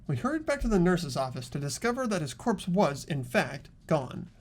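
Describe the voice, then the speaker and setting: A man's voice: fairly high pitch, very animated, normal speed. A man playing an earnest teenage boy investigator in dramatic video game dialogue. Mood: earnest, recounting. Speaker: voice actor in a fan playthrough.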